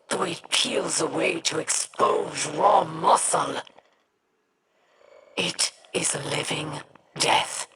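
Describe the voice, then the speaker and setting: An actress voicing a bitter, proud, weary female alien warrior leader in a game, grave and deliberate. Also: deep voice, noisy background. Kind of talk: dramatic game dialogue.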